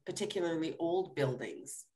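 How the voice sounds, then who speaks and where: A woman's voice: low-pitched, lively intonation, normal speed, mellow. A middle-aged woman from Toronto interviewing a guest over a video call, asking short friendly questions. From Toronto, Canada. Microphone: webcam on video call.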